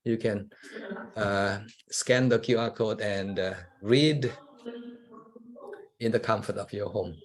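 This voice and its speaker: A man with an Indonesian accent whose first language is Javanese, giving a public lecture to teachers in a relaxed, conversational way and often adding "yeah" to check in with the audience. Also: lowish voice, slow pace, pauses often, low-quality recording.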